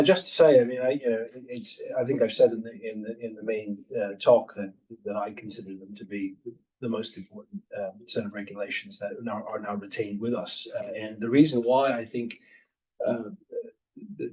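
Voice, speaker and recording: A man with a North American accent answering on a lecture panel, halting and full of fillers. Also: fairly low pitch, darkish tone, husky. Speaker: panel speaker. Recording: distant mic.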